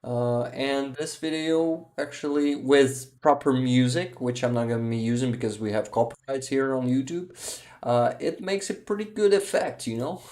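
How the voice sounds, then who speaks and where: Ukrainian man hosting, halting English. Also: mid-range voice, darkish tone. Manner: halting, searching for English words. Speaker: live stream host. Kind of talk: casual livestream commentary and chat.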